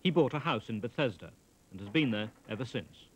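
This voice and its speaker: A man reading documentary narration in a measured, matter-of-fact voice. His pitch sits in the middle range for a man. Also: expressive, rough recording. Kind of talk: scripted narration.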